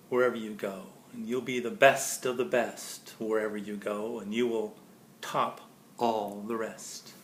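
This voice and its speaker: A man reading a children's picture book aloud expressively, with pauses between phrases and chatty explanations to listeners. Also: lowish voice, slight background noise. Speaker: storybook reader. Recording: echoey room.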